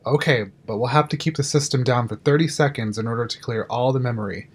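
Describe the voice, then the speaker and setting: A careful technician, played by a man speaks in an average-pitched voice. He sounds worried yet matter-of-fact, in audio drama style. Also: fast, husky, natural voice. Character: cautious, worried control-room technician.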